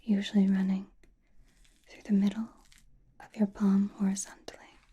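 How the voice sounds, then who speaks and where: A woman playing a mysterious, attentive sorceress fortune-teller in a whispered ASMR roleplay, speaking softly and deliberately close to the microphone. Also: medium pitch, flat delivery. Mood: calm, mystical. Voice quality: breathy, mostly unvoiced whisper. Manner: slow, deliberate, soothing with soft pauses. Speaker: ASMR performer.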